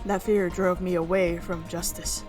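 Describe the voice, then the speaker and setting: A woman's voice: lowish voice, flat delivery, moderate pace, over music, lightly acted. A woman reading the part of a creepy, persuasive male schemer in a visual novel, speaking formally and pleading earnestly. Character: creepy, persuasive American man, self-justifying schemer.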